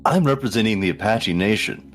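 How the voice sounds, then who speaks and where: A man playing an unflappable, courteous investigator in a tabletop game, speaking in a measured, formal, dryly condescending way. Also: lowish voice, expressive, faint music behind, lightly acted.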